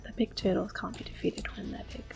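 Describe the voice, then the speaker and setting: A woman giving soft-spoken ASMR game commentary in a hushed, breathy whisper, gentle and unhurried, close to the microphone. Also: music in the background. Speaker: gameplay video host.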